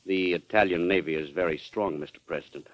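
Actor as a low-voiced, sober statesman. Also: quick pace, dry recording, natural voice. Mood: sober, measured. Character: sober, formal Secretary of State bearing grave news. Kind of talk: classic American radio drama acting. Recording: dull, dry vintage radio recording.

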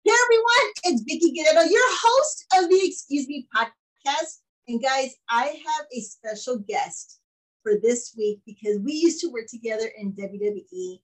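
A woman's voice: high voice, lively intonation, moderate pace, rough recording. A woman hosting a podcast, upbeat and gushing as she welcomes and interviews a guest. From Mexican heritage. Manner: upbeat and gushing, with frequent laughs. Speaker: podcast host. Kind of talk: casual interview chat.

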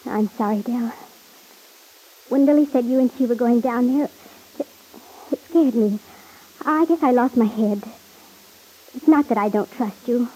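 Soft, husky, anxious young radio heroine. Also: female voice, a little high, unhurried, pauses often, low-quality recording. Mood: anxious, pleading. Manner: hesitant, soft-spoken, earnest. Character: Lisa, anxious, secretive, gentle young woman. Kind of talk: old-time radio serial acting.

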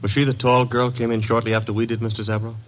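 An actor playing a young, cheeky detective's assistant in an old radio drama, flippant, slangy and streetwise. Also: male voice, medium pitch, little pitch movement, quick pace, close to an everyday voice, low-quality recording.

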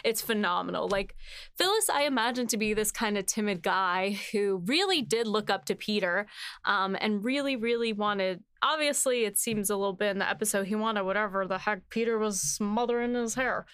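A woman's voice: medium pitch, fairly quick, fairly bright tone, clean tone, dry recording. A woman hosting a casual behind-the-scenes chat as a writer, talking informally with lots of "like" and "you know". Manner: chatty, informal, says "like" and "you know" often. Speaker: host, series writer.

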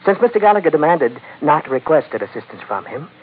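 An actor playing a sly, courteous Chinese merchant crime boss in an old-time radio drama, speaking formally with polite menace. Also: male voice, on the high side, big pitch swings, husky. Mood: smooth, businesslike. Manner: formal, elaborately polite, unhurried. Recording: noisy, tinny vintage radio recording.

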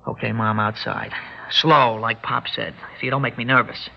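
An actor playing a threatening young armed robber in a classic radio drama, talking in tough, slangy, ungrammatical street talk. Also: male voice, medium pitch, steady tone, normal speed, vocal fry. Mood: tense, bossy. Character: jittery young male armed robber holding hostages, threatening. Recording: muffled old radio broadcast.

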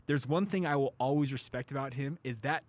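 A man's voice: mid-range voice, a little fast. A man hosting a sports talk show, chatting casually and emphatically, stretching out key phrases for weight.

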